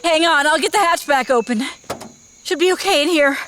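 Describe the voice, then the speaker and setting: Horror audio drama: actress as scared, determined young runaway woman, extremely high voice, urgent, high-strung. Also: brisk, a bit bright, slight background noise. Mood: anxious, hopeful.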